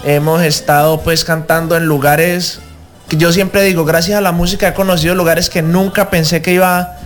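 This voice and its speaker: A man's voice: on the high side, fairly even intonation, normal speed, soft background music. A young Colombian man from Cali with a Colombian accent, a singer being interviewed on the radio, talking in a grateful, humble way with many fillers. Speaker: guest singer.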